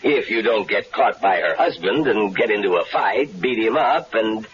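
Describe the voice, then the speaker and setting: An actor playing a desperate, nervous man mistaken for a crook, in a 1970s radio drama, talking in halting bursts. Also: fairly low pitch, lively intonation, a bit bright. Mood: worried. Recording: dull hissy old radio broadcast.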